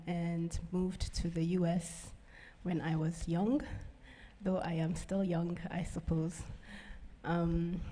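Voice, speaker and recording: A woman author from Nigeria introducing herself on a festival panel in a measured, understated way. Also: fairly low pitch, mellow. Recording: reverberant festival hall.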